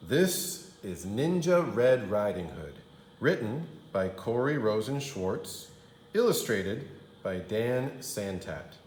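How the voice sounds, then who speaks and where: A man reads a children's storybook in a roomy, echoing space. His delivery is very slow and highly animated, swinging dramatically through the phrases like a kindergarten teacher at story time. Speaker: kindergarten teacher reading aloud. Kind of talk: animated storybook read-aloud.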